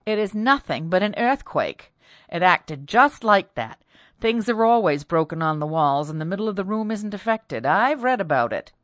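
Gruff fed-up husband, loud and blunt, read by a woman, dry close sound. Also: medium pitch, big pitch swings, normal speed, no room sound. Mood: exasperated. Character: stubborn irritated husband, resentful and gruff.

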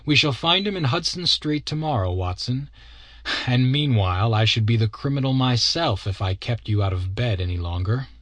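A man voicing a confident, composed detective who speaks in a crisp, assured way. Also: expressive, a bit bright, no echo. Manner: crisp, assured, quicker and higher than the narration. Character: brisk, confident male detective, sharp and composed. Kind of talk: audiobook character reading.

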